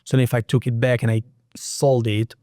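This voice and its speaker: A man's voice: medium pitch, flat delivery, moderate pace, darkish tone, dry recording, studio quality. A man from Israel with an Israeli accent, a native Hebrew speaker, explaining lending as a podcast guest with frequent restarts. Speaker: private real estate lender, guest. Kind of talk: casual podcast interview.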